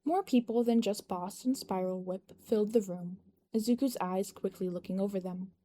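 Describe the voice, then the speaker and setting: A woman's voice: mid-range voice, moderate pace, mellow. A woman reading a scripted fan-fiction story aloud as its narrator. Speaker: podfic narrator. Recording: noticeable background noise.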